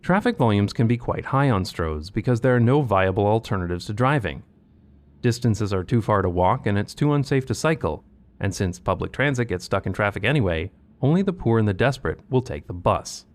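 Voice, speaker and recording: Lively, wry male video narrator. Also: fairly low pitch, a little fast. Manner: wry and pointed delivery. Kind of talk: scripted narration.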